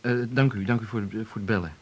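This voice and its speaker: An actor playing a laconic, composed husband in a radio drama, speaking tersely. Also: male voice, fairly low pitch, fast, lightly acted. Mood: subdued, terse. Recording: audible tape hiss.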